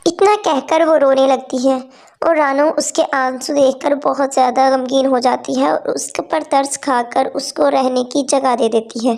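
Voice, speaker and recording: A woman with a high voice tells a cartoon story. Her tone stays plain and steady, a storybook narrator. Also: unhurried, gravelly.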